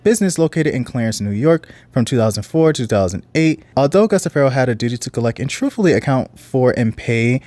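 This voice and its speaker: A man's voice: lively intonation, fast, hardly any pauses, a little mellow, no room sound. A man hosting reaction commentary in a casual, earnest, reflective way, now and then reading out case details. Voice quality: deep voice.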